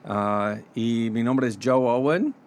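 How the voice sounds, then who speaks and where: A middle-aged man with an American accent tinged with Mexican Spanish, speaking as a pastor guest in a radio interview, halting and full of fillers. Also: mid-range voice. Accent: American with Mexican Spanish touches. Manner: halting, many fillers and restarts.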